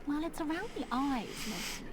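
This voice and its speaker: An actress playing a warm, sensible older elf woman in a fantasy video game. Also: sits high, lively intonation, moderate pace, noisy background. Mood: bickering, amused.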